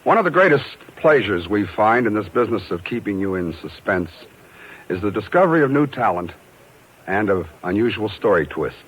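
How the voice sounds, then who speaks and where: A man's voice: fairly low pitch, sing-song, normal speed, husky, rough recording. An American man hosting a 1950s radio drama series with a scripted, literate showman's introduction. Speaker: radio series producer and host.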